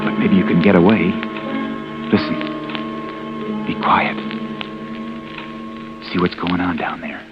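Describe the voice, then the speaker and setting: Low hushed guilty narrator, muffled old radio sound, music under it. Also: male voice, fairly even intonation, slow pace, long pauses. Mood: brooding. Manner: brooding inner monologue, talking to himself. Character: desperate young man who killed by accident, Eddie. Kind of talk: 1940s radio acting, narration.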